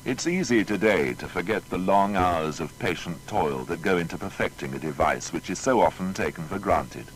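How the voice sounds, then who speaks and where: A man's voice: expressive, moderate pace, rough recording. A man narrating a scripted documentary biography in a formal, storytelling manner. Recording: faint noise with low rumble.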